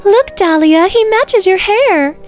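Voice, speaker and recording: A woman voicing a tiny, gushing female flower fairy who talks in a high, cooing, breathless way. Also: lively intonation, takes it slowly, soft background music, exaggerated voice.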